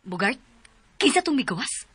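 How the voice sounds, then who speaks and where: A woman's voice: a little high, sing-song, moderate pace, a bit bright, breathy edge, no room sound, heavily acted. A woman with a Filipino accent playing an exasperated, worried mother and mistress of the house in a radio melodrama, emphatic and scolding. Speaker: radio drama actor. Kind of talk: melodramatic radio drama acting.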